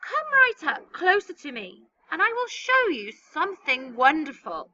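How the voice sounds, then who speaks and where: A woman voicing a small, mysterious old man who speaks slowly and creakily, coaxing and whispering. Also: high voice, wildly swooping pitch, pushed and bright, no echo, voice pushed up, exaggerated voice. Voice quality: slow and creaky. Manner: whispering, wheedling. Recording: dull, distant mic.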